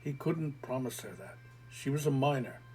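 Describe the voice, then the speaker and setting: A man narrating a short story in a quiet, matter-of-fact way. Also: medium pitch, expressive, normal speed, faint music behind.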